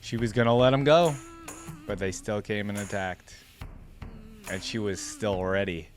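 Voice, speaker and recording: A low-pitched man hosts a reaction video in a casual, conversational style. Faint music plays behind his commentary. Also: unhurried.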